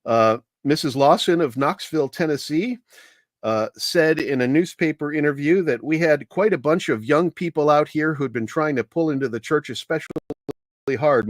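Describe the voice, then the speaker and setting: A man giving an informal, explanatory history talk with anecdotes, speaking in a measured, hesitant way as a commentator. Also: fairly high pitch, lively intonation, no room sound.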